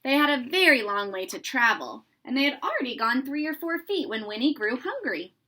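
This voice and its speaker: A woman reading a children's story aloud in an expressive, deliberate narrating style. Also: high-pitched. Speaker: storybook reader.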